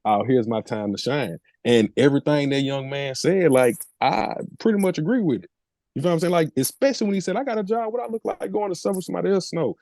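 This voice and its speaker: A middle-aged man with a relaxed, soft-edged tone and very little room sound. He talks as a casual podcast co-host, making his points in slangy, emphatic, opinionated language. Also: on the high side, quick pace, no room sound.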